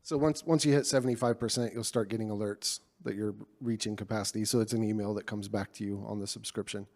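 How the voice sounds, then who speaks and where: A man's voice: lowish voice, fairly even intonation, quick pace, darkish tone. A man, a solution engineer, answering audience questions casually at a conference session. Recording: long reverb of a conference hall.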